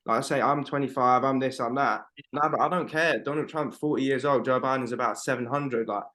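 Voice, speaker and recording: A man's voice: medium pitch, a little fast, pushed and bright. A man, co-hosting a podcast over a video call from home, rambling emphatically and swearing freely. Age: 20s. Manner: rambling, emphatic, swears a lot, fillers like "bro". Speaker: podcast co-host. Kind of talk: casual chat.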